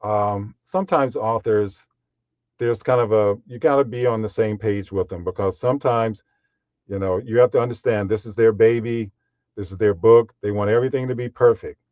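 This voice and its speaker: A man with a deep voice, a guest voiceover artist speaking in a measured, unhurried way with frequent fillers in a podcast interview. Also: steady tone, darkish tone, dry recording, dull audio.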